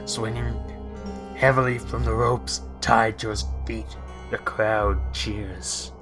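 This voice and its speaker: A man with a fairly low voice plays through a story game for an audience. He reads the text aloud and drifts into rambling, joking asides, with music clearly playing behind him. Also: fairly even intonation, takes it slowly. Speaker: let's play host. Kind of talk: let's play commentary, reading game text aloud.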